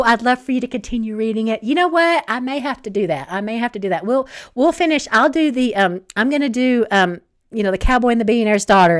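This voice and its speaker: A woman with a Southern accent from Alabama, an author chatting casually on a livestream and reading out viewer comments, rambling with many pauses and restarts. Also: a little high. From Northeast Alabama. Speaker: author hosting a live book chat.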